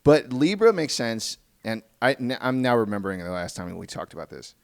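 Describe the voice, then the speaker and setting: A man hosting a podcast in casual interview chat, halting and often restarting his phrases. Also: medium pitch, big pitch swings, vocal fry. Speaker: podcast host. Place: podcast recording.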